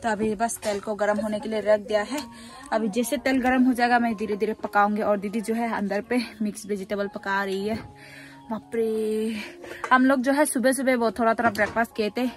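A woman's voice: medium pitch, fairly even intonation, normal speed, faint music behind. A young woman with a Northeast Indian accent narrating a casual cooking vlog, recorded on a handheld camera at a rural home. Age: young adult. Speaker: vlogger. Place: rural bamboo house, kitchen and yard. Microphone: phone or camera at arm's length.

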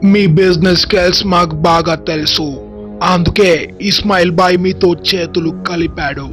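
A man playing eager, greedy schemers in the dialogue of an animated moral story. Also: high-pitched, moderate pace, faint music behind. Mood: businesslike. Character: eager, greedy men plotting shady business in a village tale.

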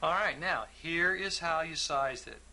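A male instructor working calmly through a plumbing calculation, explaining each step patiently with small fillers and restarts. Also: fairly high pitch, measured pace. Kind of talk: instructional walkthrough.

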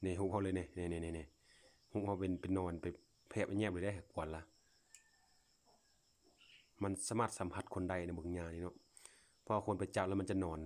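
Deep-voiced Lao man vlogging casually. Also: a little mellow. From Laos. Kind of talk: casual unscripted commentary to viewers while filming. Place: outdoors, at a grassy patch.

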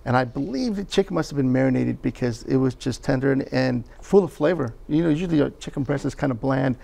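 A man's voice: mid-range voice, fast talker, creaky, warm tone. A man, a guest reviewer, describing his meal in detail with many small hesitations.

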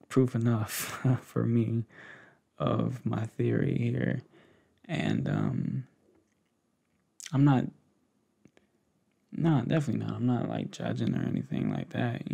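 A young American man speaks in a soft, conversational ASMR style. His voice is heavy with vocal fry and sits at an ordinary male pitch. He takes his time, pausing and hesitating as though confiding in one person close by. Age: 20s to 30s. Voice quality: gravelly, fry-laden. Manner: unhurried, hesitant, pausing talk addressed intimately to one listener. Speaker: ASMR creator. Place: small indoor setup with cloth backdrop. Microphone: close desktop condenser mic with pop filter.

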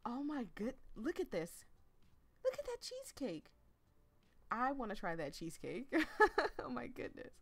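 A woman's voice: on the high side, wildly swooping pitch, unhurried, long pauses. A woman hosting a let's play, chatting casually and directly to her viewers. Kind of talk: casual commentary and reading a visual novel aloud.

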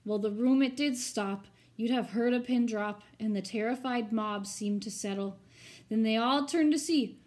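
A woman's voice: fairly high pitch, normal speed, a little mellow. A woman teacher reading a picture book aloud expressively, with informal, chatty asides for children.

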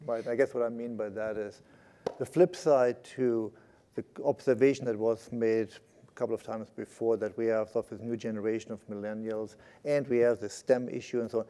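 A man's voice: medium pitch, fairly even intonation, brisk, warm tone. A man in his sixties speaking on a conference panel through a lapel mic, conversational and often ending his points with "right?". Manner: conversational, gestures a lot, tags points with "right?". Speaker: panelist, IT executive. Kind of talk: panel discussion. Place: conference stage.

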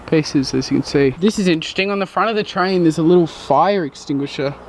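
A man's voice: fairly high pitch, fast talker. A young man, giving a casual, jokey walkthrough while exploring old trains, recorded on a handheld camera outdoors and in a locomotive cab. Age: young adult. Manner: chatty, jokey, running play-by-play. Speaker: urban explorer vlogger. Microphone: handheld action camera. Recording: noisy outdoor camera audio.

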